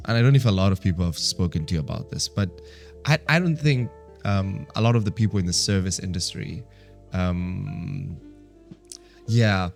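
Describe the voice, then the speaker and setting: East african guy with a low voice, chatty and reflective, casual talk show convo, music in it. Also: gravelly. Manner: reflective, talkative, peppers English with some Swahili. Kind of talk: casual conversation.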